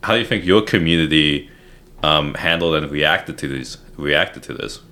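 A man's voice: a bass voice, normal speed, sharp tone. A man hosting an interview podcast, talking casually and hesitantly with many fillers. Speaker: podcast host.

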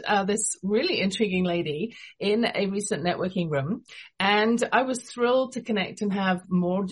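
A woman's voice: on the low side, breathy edge, rough recording. A woman with an English accent, hosting a radio interview show and introducing her guest with enthusiasm. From England.

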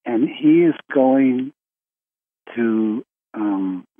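A man hosting a spiritual conference call, talking in a slow, rambling way with restarts. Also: medium pitch, vocal fry, breathy edge. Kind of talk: casual call-in talk. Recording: tinny phone line.

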